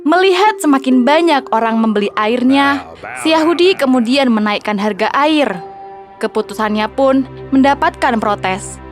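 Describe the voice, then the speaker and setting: Female narrator, high register, scripted kids' storytelling with background music. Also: animated, normal speed.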